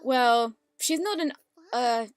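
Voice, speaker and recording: A woman's voice: a little high, slow pace, background noise. A woman giving casual, chatty, rambling commentary as the creator of an audio drama. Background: background music from the episode.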